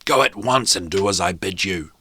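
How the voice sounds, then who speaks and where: A man's voice: sits low, moderate pace, sharp tone, husky, voice pushed down, exaggerated voice. A man voicing a bossy, vain young storybook king in a pompous, declamatory manner. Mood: angry. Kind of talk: storybook character reading.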